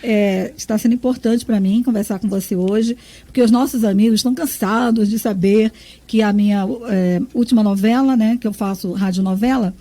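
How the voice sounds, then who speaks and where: Mellow-voiced woman interviewer. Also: medium pitch, steady tone, brisk. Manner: warm, admiring questions, tag questions. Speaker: radio interviewer. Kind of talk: radio interview.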